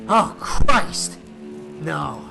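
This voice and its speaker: A man's voice: fairly high pitch, very animated, very slow, vocal fry, exaggerated voice. An actor playing an uneasy, grumbling, superstitious airfield controlman in an old time radio drama. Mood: alarmed. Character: nervous, superstitious airfield controlman, older-pal type, reluctant. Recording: loud music and storm effects behind voice.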